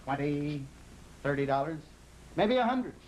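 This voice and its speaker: A man's voice: on the high side, animated, unhurried, darkish tone, slight background noise, rough recording. A man acting in an old-time radio drama, playing a tender, brooding husband who is a desperate gambler. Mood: indulgent. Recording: vintage radio recording with faint music.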